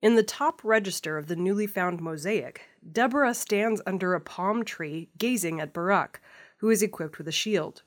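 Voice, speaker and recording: A woman's voice: mid-range voice, normal speed. A woman reading scripted news stories as the presenter of an audio news programme. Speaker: news reader.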